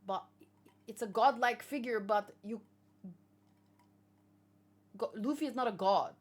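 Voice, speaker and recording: A woman hosting a read-along, chatting casually with viewers and thinking aloud, with hesitant pauses and musing hums. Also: on the high side, slightly rough. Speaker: host of a manga read-along reaction show.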